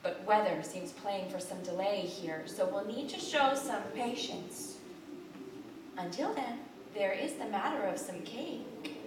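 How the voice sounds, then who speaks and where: A woman's voice: medium pitch, animated, a little slow, close to an everyday voice. A woman voicing a sleepy, weary, folksy but firm social worker in a live storybook reading. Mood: patient. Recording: distant, echoey, noisy live theater recording.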